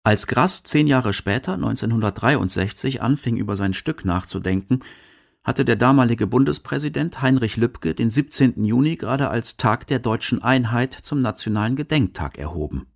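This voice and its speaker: A man's voice: mid-range voice, animated, normal speed, creaky. A man reading scripted narration for a radio feature as its documentary narrator.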